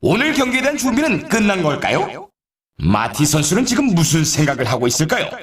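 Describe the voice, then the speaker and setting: A man's voice: sits high, huge pitch swings, a little fast, a bit bright, heavily acted. A man playing an upbeat, showy sports announcer in a video game, with polished, scripted commentary delivery. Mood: excited. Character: male snowboard race announcer, upbeat and showy. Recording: echoey, distant-sounding game audio.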